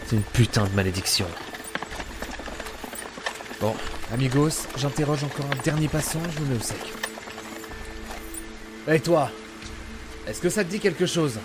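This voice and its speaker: An actor playing a gruff, weary but principled adventurer in an audio drama, grumbling and muttering to himself. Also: male voice, medium pitch, lightly acted. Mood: frustrated, grumbling, then pensive, determined. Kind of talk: audio drama acting with inner monologue.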